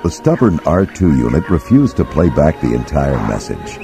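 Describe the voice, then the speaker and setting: A man gives dramatic, scripted storybook narration. His voice is very deep and moves widely in pitch, with music clearly playing underneath. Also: big pitch swings, normal speed.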